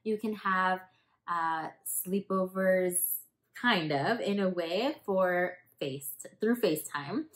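A young adult woman talking casually and directly to children as a teacher hosting story time, in an upbeat, animated way. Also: lowish voice, slow, reverberant. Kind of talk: casual talk to children about a picture book. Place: small indoor room in front of a hanging tapestry.

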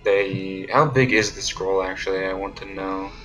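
A man's voice: deep voice, fairly even intonation, moderate pace, rough recording. A man playing a tabletop game, chatting casually in a playful, questioning way. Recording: music behind the voice. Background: background music.

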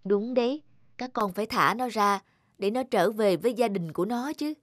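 A woman playing a strict but caring young mother in an animated fable, scolding yet affectionate. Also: mid-range voice, moderate pace, no room sound, studio quality, close to an everyday voice. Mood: gentle. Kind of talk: animated moral fable voice acting. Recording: music behind the voice.

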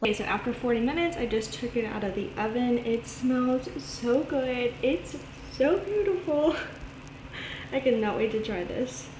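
A cheerful woman in her thirties chats to camera in a home kitchen. Her voice sits high and bright. The room rings back with noticeable echo. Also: normal speed, a little hiss or hum. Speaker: cooking vlogger. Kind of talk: casual on-camera intro.

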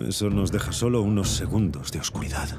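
Game role: male adventurer-thief, played by a man, lowish lively voice, casual, confident, determined. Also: fast talker, noisy background, close to an everyday voice. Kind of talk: game cinematic acting, Spanish dub.

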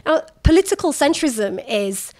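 A woman's voice: sits high, sing-song, unhurried, darkish tone. A woman journalist in her thirties, talking candidly in an interview in a forthright, emphatic way and filling her speech with "like". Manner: forthright, emphatic, uses many "like" fillers. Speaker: journalist guest.

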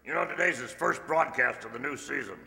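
Older man playing a gravelly, sharp-voiced wisecracking valet. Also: medium pitch, fairly quick. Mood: chatty, flirtatious. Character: wisecracking male household valet, easygoing and flirtatious. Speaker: amateur radio-play actor. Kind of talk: old-time radio comedy acting. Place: large indoor stage hall, stand mic. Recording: reverberant hall, stage microphone.

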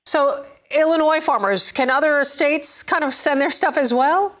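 A woman's voice: sits high, a little slow. A middle-aged woman anchoring a farm news show in a TV studio, interviewing a guest.

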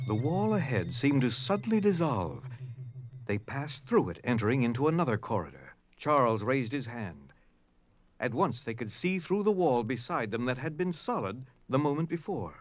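A man's voice: mid-range voice, big pitch swings, moderate pace. A man narrating a scripted story for an audio dramatization in a dramatic style. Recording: clear background noise and ambience.

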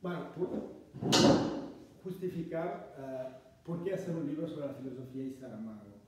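A man's voice: medium pitch, expressive, distant mic, heavy background noise. Italian man lecturing in an echoey room. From Italy. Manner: measured, reading from notes. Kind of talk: academic lecture. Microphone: far camera.

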